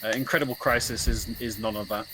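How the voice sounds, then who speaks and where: A man's voice: mid-range voice, fairly even intonation, fast, background noise. A man giving casual speedrun commentary, explaining the game in a hesitant way. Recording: loud game music behind. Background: loud video game music.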